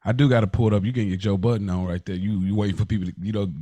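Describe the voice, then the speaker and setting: A man's voice: lowish voice, steady tone, rapid-fire, mellow, dry recording. A man co-hosting a casual podcast chat, speaking in slangy, informal language. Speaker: podcast co-host and producer.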